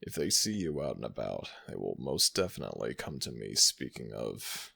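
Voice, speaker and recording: A man voicing an ancient jackal god in an audio roleplay, gentle, teasing and fond toward the listener. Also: big pitch swings, full character voice. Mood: playful warm. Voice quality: very deep gravelly voice, slow and soothing. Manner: affectionate, playfully self-mocking.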